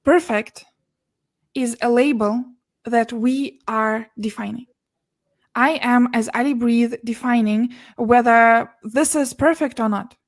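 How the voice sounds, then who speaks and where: Russian-born woman, bright and dry, earnest. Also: fairly high pitch, steady tone, slow pace, dry recording. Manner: earnest, many restarts and laughs between words. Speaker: podcast guest, business and mindset mentor. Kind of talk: casual interview conversation.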